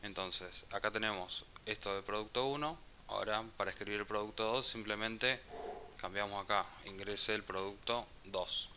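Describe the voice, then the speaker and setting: A man teaching a programming class, explaining code slowly and thinking aloud as he types. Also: lowish voice. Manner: slow, halting, thinks aloud with restarts. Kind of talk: live coding class explanation. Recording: faint hiss.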